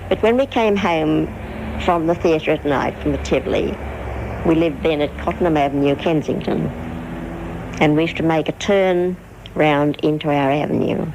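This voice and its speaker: An older woman reminiscing in an interview in a halting, reflective manner. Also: low-pitched. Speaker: interviewee, widow. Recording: noisy archival interview.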